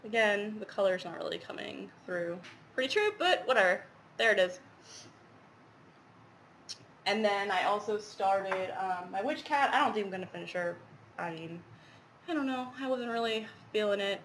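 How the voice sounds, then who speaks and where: A woman's voice: medium pitch, expressive, moderate pace, pauses often, a bit bright, a little hiss or hum, low-quality recording. A woman with a stuffy head cold, chatting casually and rambling with lots of fillers as she shows her stitching projects. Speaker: cross-stitch vlogger. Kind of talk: casual show-and-tell chat.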